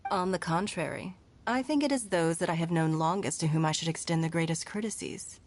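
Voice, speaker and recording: An actress playing a courteous, composed noblewoman leader in a video game, speaking in a formal, measured way. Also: fairly low pitch, slight background noise, close to an everyday voice. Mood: gracious. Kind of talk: video game character dialogue.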